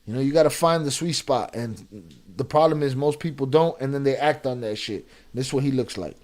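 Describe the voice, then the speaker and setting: A man commenting live on a video, sarcastic and animated, with many restarts. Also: mid-range voice, a little fast. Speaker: reaction streamer.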